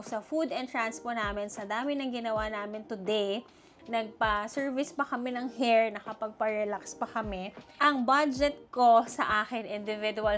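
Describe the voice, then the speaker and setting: A young adult woman from the Philippines, a travel vlogger talking through her trip budget in a chatty, animated way. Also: a little high. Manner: chatty, animated, mixing Tagalog and English. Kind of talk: casual vlog chat with budget talk.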